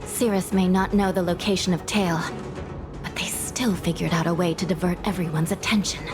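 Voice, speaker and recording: Woman doing calm authoritative lady judge from a game, slightly low voice, resolute. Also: close to an everyday voice. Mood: composed, determined. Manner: measured, authoritative. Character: composed, resolute female judge. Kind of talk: scripted video game voice acting. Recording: voice under prominent music.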